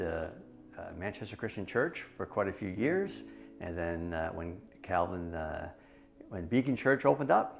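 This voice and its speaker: A man in his forties from New Hampshire tells his story in a church video, hesitating and restarting often. His voice sits a bit low, with faint music underneath. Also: creaky. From New Hampshire, USA. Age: 40s. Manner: halting, many fillers and restarts. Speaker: church member, small business owner. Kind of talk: testimony video interview.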